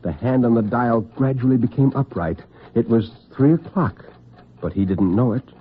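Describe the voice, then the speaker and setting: A man narrating an old-time radio suspense story with deliberate, literary intensity and a husky voice. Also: medium pitch, a little hiss or hum. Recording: tinny, band-limited vintage radio recording.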